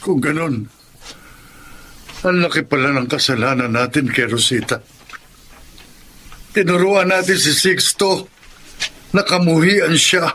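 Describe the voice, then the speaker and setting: High-voiced, troubled Filipino brother. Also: male voice, a little slow, long pauses, bright, forceful tone, a little hiss or hum. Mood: regretful. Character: troubled younger brother, questioning and conscience-stricken. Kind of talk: Filipino radio drama acting.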